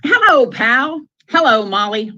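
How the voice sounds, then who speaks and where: A woman's voice: fairly high pitch, big pitch swings, measured pace, sharp tone, dull audio, heavily acted. A woman playing a breezy, wisecracking neighbour in an old-time radio comedy, with playful theatrical delivery. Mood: breezy, teasing.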